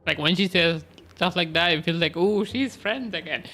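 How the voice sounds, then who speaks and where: A man's voice: sits high, normal speed, sharp tone, faint music behind. A man doing casual live game commentary as a streamer, musing informally and reacting to the story.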